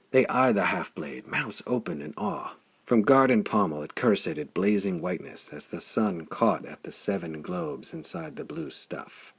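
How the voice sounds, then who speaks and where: Gravelly, deep-voiced man narrating adventure fiction. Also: a bit bright. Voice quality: gravelly, husky. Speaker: audiobook narrator. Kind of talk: dramatic scripted narration of pulp adventure fiction.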